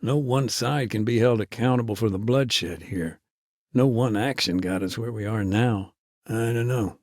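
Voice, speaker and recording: A weary, wry aid worker. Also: male voice, on the low side, normal speed, no echo, clean studio sound. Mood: reflective. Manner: reflective, wry, world-weary. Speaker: aid worker being interviewed. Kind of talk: game cutscene voice acting.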